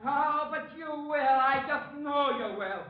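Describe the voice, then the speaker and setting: An exasperated, nervous man next door in a vintage radio comedy. The voice is very high, whiny and slow with pleading. It echoes in a dull old recording. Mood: pleading dread. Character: nervous, exasperated, sleepless male neighbour. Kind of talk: 1940s radio comedy acting.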